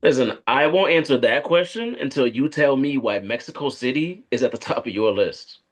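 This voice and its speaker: A man acting a guarded corporate operative on a date in a conversational script read, speaking in a halting, evasive way. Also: mid-range voice, animated, natural voice, rough recording. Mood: bantering, reluctant. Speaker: actor at a live script read. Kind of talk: conversational screenplay table read.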